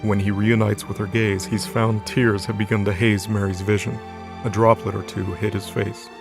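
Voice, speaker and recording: A man's voice: lowish voice, little pitch movement, normal speed, over music. A man narrating an audio drama in a scripted, dramatic storytelling style.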